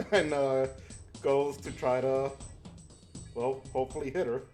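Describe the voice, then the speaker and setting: Male tabletop player, medium pitch, playful rambling, casual chat, faint music behind. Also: slow pace, a little mellow. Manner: playful, rambling, thinks aloud.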